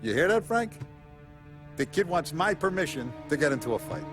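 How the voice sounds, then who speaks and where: An actor playing a calm, commanding male mob boss who lectures in a measured way, in a video game cutscene. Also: on the high side. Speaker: crime boss. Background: cinematic music.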